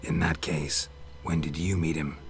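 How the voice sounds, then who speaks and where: An actor playing a gruff, stoic monster hunter in a video game, terse and deadpan. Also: male voice, extremely low pitch, measured pace, soft background music, heavily acted. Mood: curious, dry. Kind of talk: video game dialogue acting.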